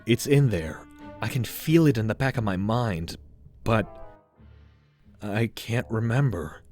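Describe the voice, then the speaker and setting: A man acts out a young cartoon master thief with a low, smooth voice. He is wry and cocky with a wistful undercurrent, telling his tale over clearly audible music. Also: lively intonation, a little slow, a little mellow. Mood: wistful, shaken. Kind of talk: comic dub voice acting with narration.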